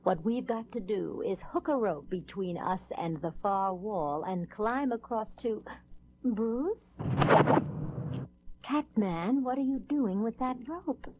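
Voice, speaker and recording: An actress playing a seductive, scheming cat-themed villainess in a 1970s superhero audio drama, teasing and commanding. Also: female voice, mid-range voice, lively intonation, slow, muffled recording. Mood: smug, commanding. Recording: noisy 1970s record transfer, clicks.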